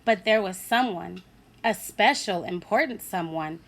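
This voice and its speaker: A woman teacher reading a picture book aloud to children, animated and asking her listeners questions. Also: mid-range voice, a little slow.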